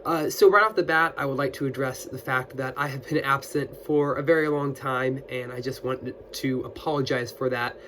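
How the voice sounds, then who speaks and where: A man's voice: medium pitch, steady tone, normal speed, hardly any pauses, fairly bright tone. A young man who is getting over an illness and still sounds scratchy, chatting casually about his new books as a book video host, with a lot of fillers and restarts. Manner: friendly, with many fillers and restarts. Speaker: booktuber. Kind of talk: casual book haul chat.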